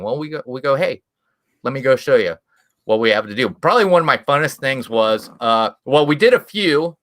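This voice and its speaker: A man's voice: mid-range voice, sharp tone. A man with a Midwestern American accent, a guest on a live stream, telling rambling stories in casual chat with plenty of restarts. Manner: rambling, chatty storytelling with frequent restarts and chuckles. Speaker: guest YouTube creator.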